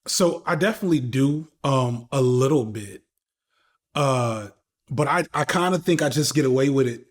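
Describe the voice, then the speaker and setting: A man's voice: medium pitch, normal speed. A man in his thirties, a podcast guest talking casually and candidly, with slang, swearing and frequent restarts. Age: 30s. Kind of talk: casual conversational interview.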